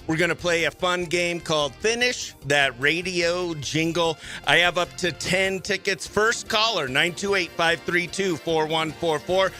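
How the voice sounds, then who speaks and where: A man hosting a morning radio show, chatting excitably and playfully through banter and giveaway patter, with music faintly behind him. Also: fairly high pitch, a little slow, few pauses.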